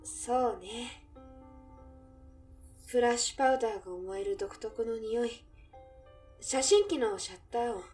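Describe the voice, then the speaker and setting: A woman's voice: fairly high pitch, animated, unhurried, pauses often, darkish tone, recorded from a distance, faint music behind. A woman playing a wistful, reflective cafe owner remembering a lost love in a Japanese audio drama. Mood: wistful, nostalgic. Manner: reflective, feminine, emotive storytelling.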